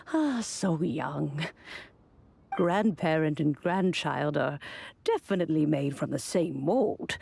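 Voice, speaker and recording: A woman playing a wise, long-lived female mentor in a video game, speaking in a gentle, measured, formal storytelling way. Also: lowish voice, creaky, husky, full character voice. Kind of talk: video game character acting. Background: music.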